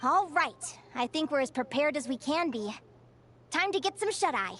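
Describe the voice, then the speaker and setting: A woman's voice: sits high, expressive, normal speed, fairly bright tone, soft background music. A woman voicing a stubborn young traveler heroine in a video game, speaking an earnest inner monologue. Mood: calm.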